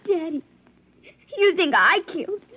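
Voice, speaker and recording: A woman's voice: extremely high pitch, animated, measured pace. An actress playing an upset, stubborn, sensitive little girl in a 1950s radio drama, plaintive and childlike. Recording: muffled vintage 1950s radio recording.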